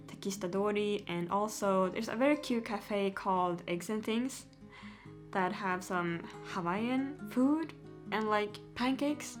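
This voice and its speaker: Chatty young woman vlogging. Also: mid-range voice. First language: Swedish. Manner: chatty, giggly, lots of "like" and "yeah". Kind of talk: casual Q&A chat to camera.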